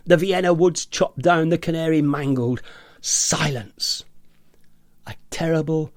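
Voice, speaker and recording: An agitated, eccentric office rebel, played by a man in a radio play, with a slightly high voice. He savours each word theatrically and mimics naggers, and the sound is dry and close. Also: darkish tone, no echo. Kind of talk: radio play monologue reading.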